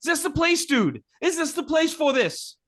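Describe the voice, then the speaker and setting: A man's voice: very high voice, sing-song, normal speed, a bit bright, no room sound, studio quality. A man in casual podcast chat, blunt and sardonic, swearing freely. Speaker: podcast guest.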